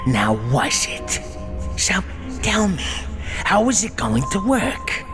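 A man playing a sinister, taunting demon-vampire villain with a drawn-out delivery in a comic book dub. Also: sits high, sing-song, heavily acted. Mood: mocking. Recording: dramatic music behind the voice.

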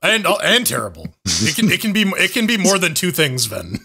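A male podcast co-host with a high, bright voice. He talks playfully and stammers, repeating words as he goes. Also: huge pitch swings, fairly quick, vocal fry, slightly rough. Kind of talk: casual podcast chat.

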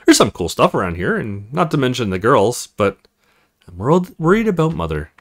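A man's voice: medium pitch, huge pitch swings, normal speed. A man voicing an awkward, earnest young male recruit in a visual novel, hesitant and self-conscious. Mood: pensive.

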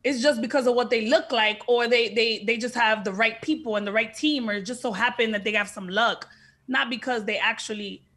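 A woman's voice: a little high, brisk, fairly bright tone. A young woman with a Dominican-tinged accent, talking frankly and emphatically as an actress guest over a video call, now and then swearing. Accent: Dominican Spanish-tinged English. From Dominican heritage. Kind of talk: casual podcast interview. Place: indoor room with plain backdrop.

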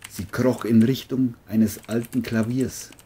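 A man's voice: medium pitch, fairly even intonation, unhurried, mellow, slight background noise. A man narrating a scripted crime story as an audiobook-style reading.

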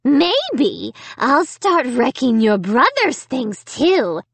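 A woman's voice: high-pitched, huge pitch swings, drawn-out words, gravelly, a bit bright, husky, dry recording, voice pushed up, exaggerated voice. A sinister living ventriloquist dummy, acted out by a woman narrator in a horror audiobook. The voice is harsh and whispery, dragging out each cruel command with gloating menace, recorded close and dry. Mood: menacing. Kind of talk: dramatized children's horror audiobook.

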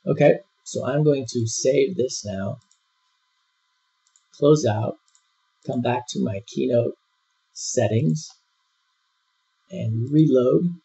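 Man, software tutorial, very husky, dull lo-fi voice-over. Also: mid-range voice, slow pace, long pauses. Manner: relaxed step-by-step walkthrough with frequent fillers. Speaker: software instructor. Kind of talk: screen-recorded software tutorial.

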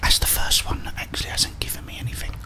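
A middle-aged man whispers ASMR gameplay commentary in a breathy voice, muttering and mildly grumbling to himself. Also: quick pace. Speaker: gamer, ASMR video host. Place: indoors, small room at home.

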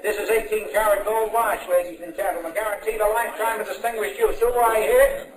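Actor as a high, distant auctioneer. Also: male voice, fairly even intonation, a little fast, natural voice. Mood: hawking. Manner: loud sales patter. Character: brisk auctioneer calling bids in a shop. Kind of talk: 1950s radio drama acting. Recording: echoey, distant voice amid crowd.

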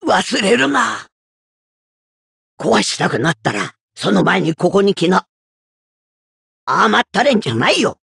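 Casting: stern, scolding elderly woman fighter for a game; husky and gravelly, delivery swings widely in pitch. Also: dry recording, clean studio sound, heavily acted. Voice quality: gravelly, raspy. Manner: blunt, old-fashioned elderly phrasing. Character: gruff old female martial arts master, stern and scolding. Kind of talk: video game character voice lines.

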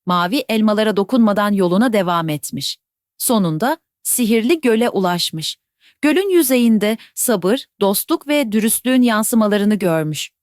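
A woman telling a children's bedtime fairy tale in a gentle, even storytelling voice. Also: mid-range voice, moderate pace, dry recording, clean studio sound.

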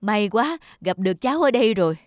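A woman's voice: medium pitch, lively intonation, brisk, close to an everyday voice. A woman voicing a kindly, forgiving old woman who sells dumplings, in a cartoon. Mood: kind, gentle. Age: older. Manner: gentle, forgiving. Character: kindly, hard-working old woman selling rice dumplings. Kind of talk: cartoon dubbing. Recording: music behind the voice. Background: background music.

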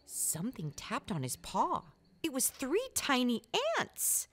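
Animated, high-pitched woman reading to children. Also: takes it slowly. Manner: animated, playful, emphatic. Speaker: children's storybook reader. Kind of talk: expressive read-aloud with chatty asides for young children.

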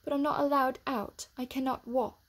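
A woman reading a storybook aloud and voicing a sickly, spoiled young boy in a raised, plaintive, sniffling tone, with a breathy voice. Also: high-pitched, steady tone, moderate pace, pitched above the performer's own voice. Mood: wistful.

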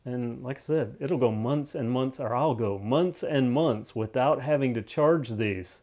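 A man's voice: medium pitch, unhurried, a little mellow. A man giving a casual, unscripted product review, thinking aloud and repeating phrases for emphasis in a conversational way.

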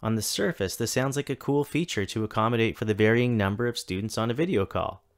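A man in his thirties reads a prepared keynote for a virtual conference. His voice sits a little low, and he takes his time, pausing between each item in a list. The delivery is steady and deliberate. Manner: measured, deliberate reading with list-like pauses. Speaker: keynote speaker, tech coordinator. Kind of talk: scripted keynote talk. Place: home or office, recorded for a virtual conference.

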